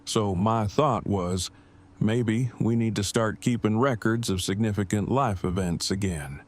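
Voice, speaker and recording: A man acts out an earnest, devoted bridegroom in an audio drama. His voice sits low, and he talks in long, precise, formal sentences at a measured, bookish remove. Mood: formal, thoughtful.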